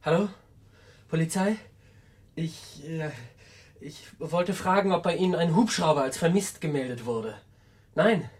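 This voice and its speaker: An actor playing a dutiful but scheming nephew in a radio crime drama, with a hesitant, questioning way of talking. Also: male voice, on the high side, a little slow, a little hiss or hum, close to an everyday voice. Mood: uneasy.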